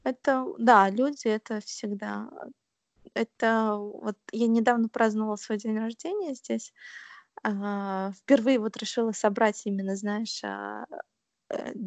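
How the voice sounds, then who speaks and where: A young woman speaks in a relaxed interview, hesitating often and filling pauses as she thinks. Her voice has a gravelly, creaky edge. The recording is dry and close. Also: on the high side, dry recording. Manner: thoughtful, hesitant, many fillers. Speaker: interview guest. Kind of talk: casual conversational interview.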